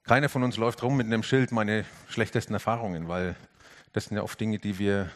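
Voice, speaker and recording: Man, lower register; earnest preacher, moves between emphatic lifts and hushed passages. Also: fairly even intonation, fairly quick. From Franconia, Germany. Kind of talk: reflective sermon.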